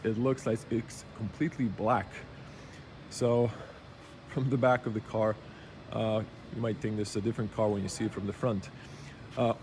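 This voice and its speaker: A man with a slightly rough early-morning voice, giving a casual, unscripted walkaround review in halting, item-by-item phrases. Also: medium pitch, darkish tone, a little hiss or hum. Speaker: car reviewer. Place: car show exhibition space.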